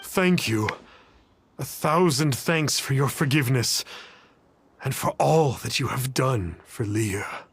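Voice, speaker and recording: An actor playing a remorseful young trickster in a video game, earnest and a little stammering. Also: male voice, mid-range voice, takes it slowly, slightly rough. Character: repentant young male trickster, earnest and fawning.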